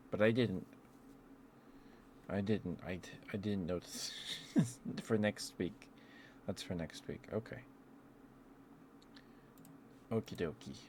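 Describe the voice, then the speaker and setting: A man's voice: on the low side, darkish tone, slight background noise. A man hosting a casual ASMR livestream, rambling and talking affectionately to viewers close to the microphone. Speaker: livestream host.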